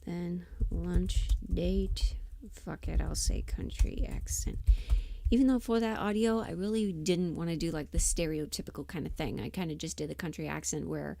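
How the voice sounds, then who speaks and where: A woman livestreamer chatting casually and thinking aloud in an unhurried, musing way, often trailing into half-whispers. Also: lowish voice, steady tone, vocal fry, dark tone. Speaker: audio roleplay performer and livestream host.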